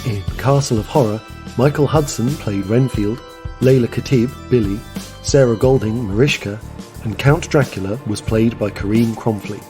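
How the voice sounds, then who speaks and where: Man reading credits over clear music. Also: mid-range voice, moderate pace. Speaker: credits announcer. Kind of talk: scripted narration. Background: music, guitar.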